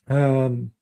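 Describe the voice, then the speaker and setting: A man's voice: medium pitch, warm tone. A man hosting a casual live stream, reading out news items in a rambling way while losing his voice. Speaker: stream host.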